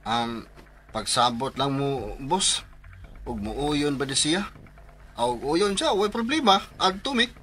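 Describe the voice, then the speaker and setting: An actor playing a nervous, deferential village friend in a radio drama, speaking hesitantly. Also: male voice, mid-range voice, animated, takes it slowly, long pauses, a little hiss or hum. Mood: nervous, placating. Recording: loud music behind.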